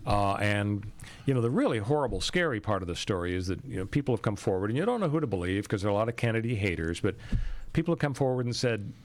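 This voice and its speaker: A man's voice: fairly low pitch, expressive, fast. An American man hosting a talk show, commenting on the news in a conversational, opinionated and emphatic way.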